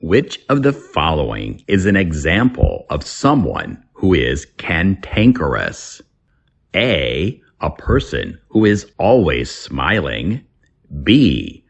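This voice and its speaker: A man with a low, husky voice reads instructional material in short, deliberate phrases. His delivery is slow, with very lively, swooping intonation. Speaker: reader and English teacher. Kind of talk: scripted instructional reading.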